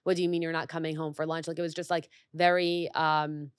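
A woman's voice: deep voice, flat delivery, a little fast, clear, resonant tone, no echo, studio quality. A young woman hosting an interview podcast, chatting casually with lots of fillers. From grew up in Mexico and the U.S. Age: young adult. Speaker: podcast host.

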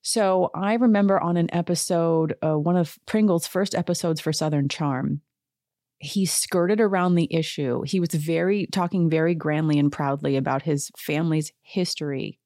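A woman with a low, mellow voice chats through her podcast in a loose, sarcastic way. She laughs often between asides. The sound is dry and close, with no room around it. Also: moderate pace, no echo. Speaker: podcast host. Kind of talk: casual chat.

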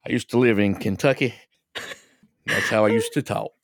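A man's voice: fairly low pitch, moderate pace, dry recording, clean studio sound. A man putting on a playful, folksy Wild West Texan with a drawling accent, in casual podcast banter. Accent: Texas.

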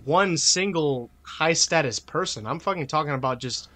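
A man's voice: on the high side, normal speed, pushed and bright. A man co-hosting a podcast, arguing in a rambling way, full of filler words and swearing. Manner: argumentative, emphatic. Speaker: podcast co-host. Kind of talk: casual podcast debate.

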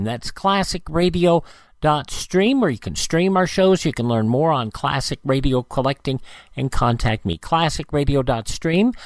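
A man's voice: mid-range voice, dry recording. A man hosting a radio program, presenting directly to listeners. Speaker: radio show host.

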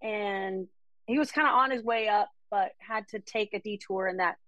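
A woman's voice: mid-range voice, normal speed, fairly bright tone, dry recording, low-quality recording. A woman from Denmark, a country singer-songwriter, chatting enthusiastically about artists she has opened for in a casual interview.

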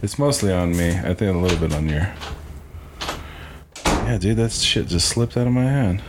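Deep-voiced laid-back young man. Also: normal speed, noisy background. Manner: laid-back, swearing, laughing often. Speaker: podcast co-host. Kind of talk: casual chat.

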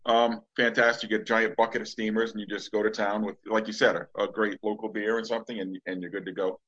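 A man's voice: on the low side, little pitch movement, fast talker, fairly bright tone, breathy edge. A middle-aged man from Massachusetts hosting a podcast in a rambling, enthusiastic, casual way. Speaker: podcast host. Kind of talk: casual chat. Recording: dull, lo-fi audio.

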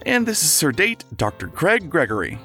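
A man's voice: fairly high pitch, huge pitch swings, normal speed, bright, forceful tone, faint music behind, full character voice. A man playing an affable, slightly fussy and flustered husband hosting guests in an audio drama. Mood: polite, flustered.

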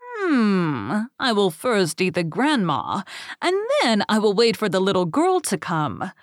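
A woman voicing a sly male wolf in a fairy tale, with a big growly voice and slow, falsely polite manner. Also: medium pitch, wildly swooping pitch, no room sound, studio quality. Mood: scheming.

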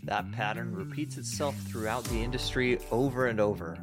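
Man, podcast co-host, middle pitch, casual and easygoing, guitar music behind. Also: normal speed. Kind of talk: podcast intro and interview hosting. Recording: music playing behind the intro.

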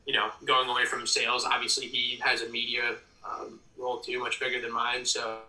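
A man's voice: mid-range voice, monotone, fairly quick, pushed and bright. A young American man from Ohio chatting casually and earnestly as a guest in an interview. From Ohio, United States. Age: young adult. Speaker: podcast guest, PA announcer and ticket sales executive. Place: room at home, audio call. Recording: roomy, slightly distant mic.

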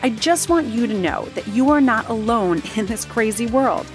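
Upbeat lady doing her podcast intro, music loud underneath. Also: fairly high pitch, lively intonation, moderate pace. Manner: upbeat, promotional. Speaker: podcast host. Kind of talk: scripted show intro.

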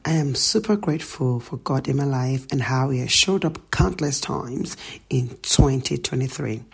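Very deep husky woman. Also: expressive. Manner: measured, earnest, listing. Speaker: guest sharing a gratitude message. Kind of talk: prepared spoken message.

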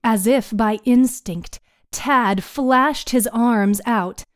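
Measured woman storyteller, unhurried and dry. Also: on the high side, no room sound. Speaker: narrator. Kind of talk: scripted story recap narration.

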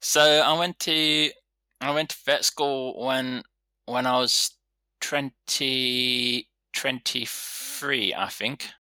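Bright-voiced man in his thirties. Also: mid-range voice, steady tone, slow, clear, resonant tone, no room sound. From London, England. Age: 30s. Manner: easygoing, rambling storyteller. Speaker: vet surgeon guest. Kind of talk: casual interview chat.

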